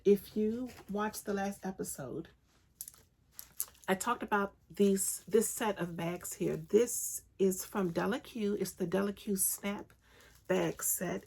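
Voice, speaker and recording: A woman hosting a crafting podcast, chatting casually with lots of enthusiasm and frequent ums, her voice raspy and congested from a recent illness. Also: medium pitch, a little mellow. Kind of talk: casual solo podcast chat.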